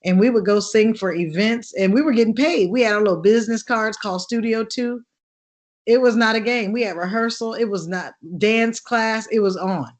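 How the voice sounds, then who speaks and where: A woman with a deep contralto voice, telling stories as an interview guest in an animated way, laughing and acting out the voices of people she quotes. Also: normal speed. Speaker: actress and singer guest. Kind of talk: lively interview storytelling.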